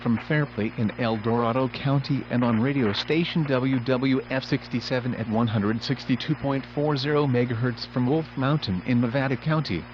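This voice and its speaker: A synthetic male voice reading scripted emergency weather bulletins as an automated radio announcer, in a formal, impersonal, measured way. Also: mid-range voice. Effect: weather radio broadcast. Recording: muffled noisy weather radio broadcast, humming.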